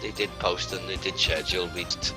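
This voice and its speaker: A man's voice: deep voice, fairly even intonation, a little fast. A man co-commentating a strategy game match, laughing often and giving blunt opinions on the players. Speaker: esports co-commentator. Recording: game music playing behind.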